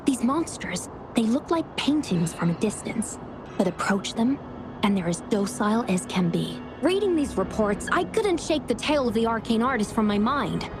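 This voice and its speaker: A woman's voice: medium pitch, noisy background, lightly acted. An actress playing an upbeat, confident young woman who briefs someone on a mystery in a breezy, colloquial way in a video game. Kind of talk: video game story dialogue. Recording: music behind the voice.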